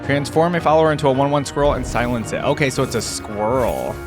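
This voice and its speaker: A man's voice: mid-range voice, lively intonation, fast talker, over music. A man giving casual, easygoing gaming reaction commentary, informally thinking aloud as he hosts. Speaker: reaction video host.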